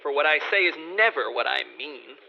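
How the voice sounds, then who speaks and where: A man's voice: fairly high pitch, expressive, fairly quick, gravelly. A man playing a court jester who answers his king in wry, teasing riddles in a radio drama. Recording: dull lo-fi audio with faint hiss.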